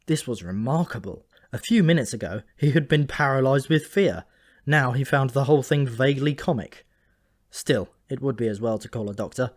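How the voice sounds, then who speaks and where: Male audiobook narrator, mid-pitched, reading scripted comic fantasy. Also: animated, normal speed.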